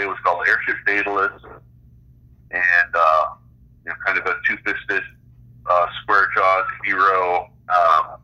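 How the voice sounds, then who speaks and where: A middle-aged man chatting casually as an interview guest about his creative work, in a halting way with searching pauses. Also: low voice, bright, forceful tone, highs cut off. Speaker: interview guest, author and game designer.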